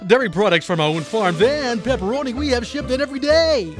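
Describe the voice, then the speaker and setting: A man's voice: very high voice, animated, brisk, over music. A man playing a cheery, salesy recorded phone greeting for a pizza shop. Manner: sing-song salesy.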